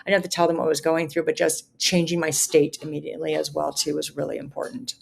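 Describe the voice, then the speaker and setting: A woman's voice: low voice, monotone, fast, creaky, slight background noise. A woman in her fifties, a guest on a podcast interview, talking earnestly in long, run-on thoughts. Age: 50s. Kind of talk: podcast interview, casual conversation.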